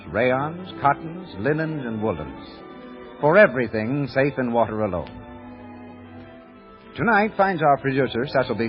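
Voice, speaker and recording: A male American announcer in the 1930s radio manner, polished and emphatic as he sells. His pitch moves around a lot, over music, on a muffled vintage broadcast recording.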